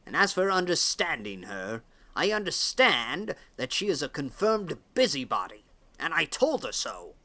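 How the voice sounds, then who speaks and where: A man's voice: on the high side, big pitch swings, unhurried, pushed and bright, full character voice. Man playing a cranky, swooping-voiced old farmer. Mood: blunt, indignant. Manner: grumbling, blunt, folksy. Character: cranky, outspoken, grumbling older male farmer neighbour. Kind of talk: audiobook character acting.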